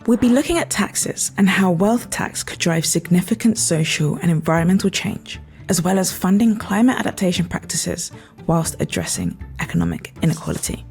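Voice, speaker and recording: A woman's voice: fairly low pitch, very animated, normal speed, darkish tone, soft background music. A woman co-hosting a podcast, reading an introduction and then putting questions to a guest, hesitant when improvising. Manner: reads smoothly, hesitant when asking questions. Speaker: podcast co-host.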